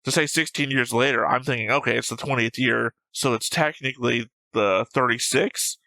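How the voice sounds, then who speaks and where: A man's voice: mid-range voice, normal speed, vocal fry, bright, forceful tone, no echo, poor audio. A man giving casual, analytical reaction commentary as a show host, picking at details. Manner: analytical, nitpicking.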